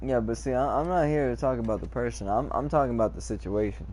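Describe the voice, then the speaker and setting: A man chatting casually while playing an online game, rambling in a calm, lecturing way, repeating words and swearing freely. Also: mid-range voice, fast talker, slight background noise. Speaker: gamer chatting in party.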